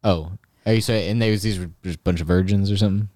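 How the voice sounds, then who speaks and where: A man's voice: low-pitched, steady tone, quick pace, mellow, no room sound, studio quality. A man hosting an improvised comedy podcast, rambling, laughing often and trailing off mid-sentence. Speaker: podcast host.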